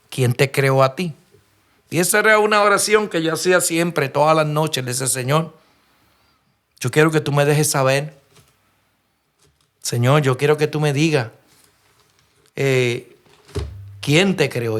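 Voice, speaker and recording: A man's voice: on the high side, fairly bright tone. A man with a Puerto Rican accent preaching a sermon, telling stories earnestly and asking rhetorical questions. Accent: Puerto Rican Spanish. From Puerto Rico. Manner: earnest storytelling, frequent restarts and rhetorical questions.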